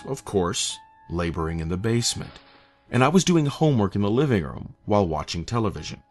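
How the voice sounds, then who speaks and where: A man reading a scripted horror story as narrator, in a measured, literary manner. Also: low-pitched. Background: faint eerie music.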